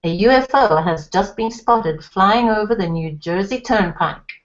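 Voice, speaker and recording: A woman's voice: mid-range voice, a little slow, lightly acted. A woman voicing a formal male TV news anchorman with a stiff, announcer-like delivery, on a slightly dull lo-fi recording. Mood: grave.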